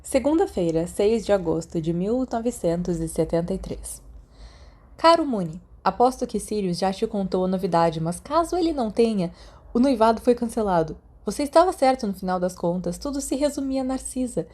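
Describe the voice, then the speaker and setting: A Brazilian woman reading fan fiction letters aloud as an audiobook narrator, in an expressive, conversational way. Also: medium pitch, fairly quick.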